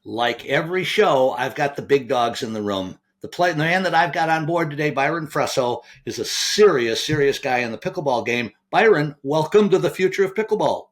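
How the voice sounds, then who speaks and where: Podcast host, male, animated delivery, slightly high, enthusiastic, restarts his lines. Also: normal speed, few pauses. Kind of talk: podcast hosting, asking viewers to subscribe.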